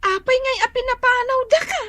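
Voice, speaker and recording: An actress playing an excitable, exclaiming daughter in an Ilocano radio drama. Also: female voice, very high-pitched, fairly quick, full character voice. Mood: concerned.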